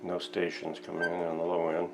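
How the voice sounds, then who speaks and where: A man in his sixties with a low, gravelly voice hosts a casual hobby vlog from his workshop. He talks in a plain, matter-of-fact way, with some noise in the background. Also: completely flat delivery, fairly quick. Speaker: host of a vintage radio restoration channel. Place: cluttered indoor home workshop.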